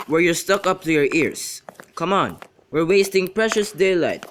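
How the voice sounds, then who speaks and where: A young man in an amateur radio drama, playing a witty, teasing young gentleman and declaiming in a bantering way. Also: sits high, animated, moderate pace. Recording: clearly noisy amateur recording with clicks.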